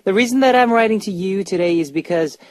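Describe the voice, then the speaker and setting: A man's voice: high voice, fast talker, no echo. A man casually hosting a music radio show, chatting and reading out listener messages, often repeating phrases.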